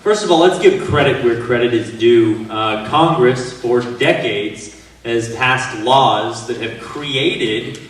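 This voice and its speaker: A man answering as a candidate at a forum, briskly listing points in a plain-spoken way. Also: medium pitch. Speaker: political candidate. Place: museum event hall. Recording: echoey hall, distant mic, faint noise.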